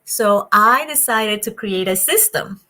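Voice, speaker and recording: A woman from Colombia with a Colombian Spanish accent, speaking as a psychologist guest in a conversational interview, animated and quick to laugh. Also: mid-range voice. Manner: animated, laughs often, bright delivery.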